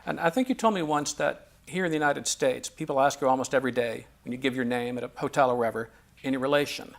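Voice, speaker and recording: A man hosting a relaxed interview, asking questions with frequent pauses, ums and restarts. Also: medium pitch, creaky. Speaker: interviewer and host.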